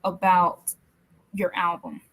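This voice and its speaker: A woman from the American South hosting a podcast interview, friendly and affirming, playful as she runs a quick game. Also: on the low side, little pitch movement, rough recording. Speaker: podcast host. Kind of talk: casual interview chat.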